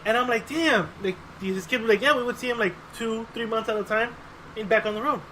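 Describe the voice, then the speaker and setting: A man's voice: high voice, brisk, sharp tone, a little hiss or hum, poor audio. A man co-hosting a podcast in casual chat, often repeating his own phrases. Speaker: podcast host.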